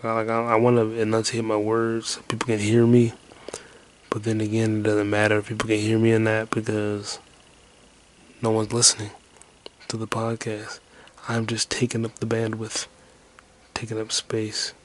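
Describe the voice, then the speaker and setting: Low-key man mumbling in a flat drone. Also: moderate pace, long pauses, slight background noise. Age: 20s. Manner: whispered rambling. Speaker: podcast host. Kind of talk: rambling solo podcast monologue.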